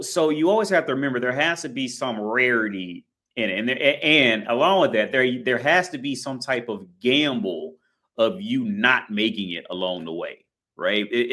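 An American man in his thirties gives an unscripted talk to camera. He rambles, restarts his sentences and leans on fillers, and he swears bluntly and freely. His voice sits at an ordinary middle pitch for a man. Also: fairly bright tone. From United States. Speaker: vlogger. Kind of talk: casual monologue to camera.

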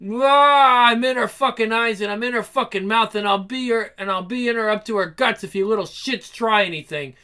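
A cruel, gloating comic-book villain, performed by a man with a high, piercing voice. He threatens and taunts crudely, relishing his power over everyone listening. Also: moderate pace, few pauses, bright, forceful tone, clean tone. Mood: gloating menace. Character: sadistic foul-mouthed villain possessing a woman's body.

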